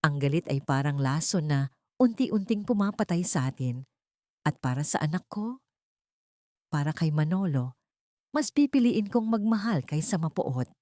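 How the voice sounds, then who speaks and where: A woman narrator plays a humble Filipino housemaid in an audiobook drama, a gentle but steadfast young mother. She speaks softly and respectfully in a lowish voice, recorded dry. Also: expressive, moderate pace, pauses often, no echo. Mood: gentle, resolute. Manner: soft-spoken, respectful.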